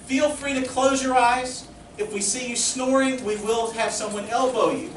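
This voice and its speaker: A man's voice: extremely high pitch, steady tone, normal speed. A man giving a live welcome speech to an audience as presenter of a student production. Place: live in a community playhouse. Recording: echoey hall, distant mic, clear noise.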